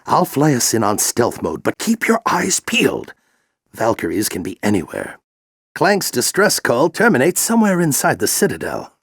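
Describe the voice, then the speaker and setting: An aged alien general from a video game, with a raised, creaky voice. He coaches in a theatrical, fervent way, swinging widely in pitch. The recording is tight and dry. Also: wildly swooping pitch, moderate pace, no room sound, heavily acted. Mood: focused, resolute. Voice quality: gravelly, theatrical. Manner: grand, exhorting, coaching. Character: aged Lombax general, furry alien mentor, fervent and encouraging. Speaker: mentor and military veteran. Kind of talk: video game character acting.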